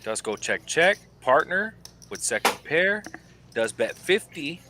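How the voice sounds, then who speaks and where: A man's voice: on the high side, sing-song, slow pace, background noise. A man giving easygoing play-by-play commentary on a live poker game.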